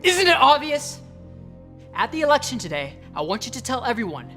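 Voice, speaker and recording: Smug taunting school bully, highish swingy voice, music behind. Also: female voice, very animated, brisk, fairly bright tone. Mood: threatening. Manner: haughty, taunting.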